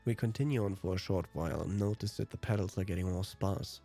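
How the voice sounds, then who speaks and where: A man's voice: sits low, fast talker, creaky, mellow. A native German speaker, a man, narrating and commenting on a visual novel as a let's play host. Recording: music behind the voice.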